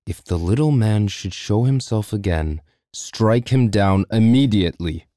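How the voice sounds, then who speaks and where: A man voicing an angry lord of the manor who yells his orders. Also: fairly low pitch, animated, a little slow, no room sound, clean studio sound. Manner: yelling, commanding.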